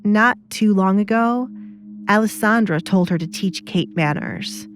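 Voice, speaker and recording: A woman's voice: medium pitch, unhurried. A woman reading scripted story narration for an audio drama.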